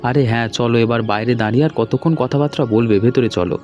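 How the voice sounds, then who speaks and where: A man's voice: medium pitch, quick pace, a little mellow, muffled recording, natural voice. A man playing a hospitable, insistent young husband in a cartoon, with a hearty conversational manner. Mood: welcoming, insistent. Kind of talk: cartoon dialogue acting. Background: background music.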